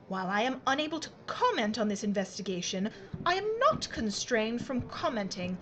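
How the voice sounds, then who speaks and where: A woman's voice: on the high side, very animated, normal speed, fairly bright tone, a little hiss or hum. An actress playing a haughty, mischievous aristocratic British lady in a wartime mystery audio drama, arch and wry in manner. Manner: arch, wry, well-bred.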